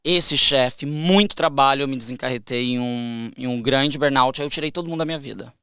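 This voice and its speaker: A young Brazilian man, a podcast guest, telling a story in a casual, animated way, with frequent restarts. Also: medium pitch, brisk, clean tone, dry recording, clean studio sound. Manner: animated, acts out quoted voices, trails off and restarts.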